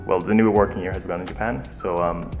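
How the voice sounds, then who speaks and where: A man's voice: sits low, quick pace, creaky, soft background music. A man from Ireland co-hosting a community radio show, chatting casually with lots of fillers. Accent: Irish. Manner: relaxed, conversational with many fillers. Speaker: radio co-host. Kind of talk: bilingual community radio chat.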